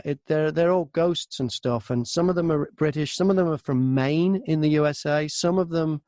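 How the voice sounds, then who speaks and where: A man talking casually as an interview guest, telling anecdotes in an informal, animated way from a tiny home studio. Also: a little high, no room sound. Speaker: voice-over artist and audiobook narrator, interview guest. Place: very small closet converted to a studio.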